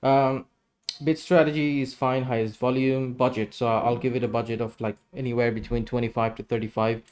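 A man's voice: mid-range voice, level tone, fairly quick, a little mellow, clean tone. A man in his thirties giving a casual screen-share tutorial, explaining each step as he goes. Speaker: tutorial presenter.

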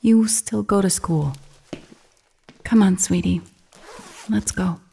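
Loving working mom, warm conversational delivery, mid-range voice, naturalistic radio play. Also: female voice, mid-range voice, slow pace, vocal fry, slight background noise. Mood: gently coaxing. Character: loving, worried working mother and wife.

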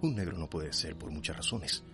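An actor playing an anguished man of conscience, thinking aloud with dramatic pauses in a radio soap opera. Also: a bass voice, expressive. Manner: dramatic inner monologue with pauses. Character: anguished man of conscience forced to obey a cruel master. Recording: loud music behind the voice.

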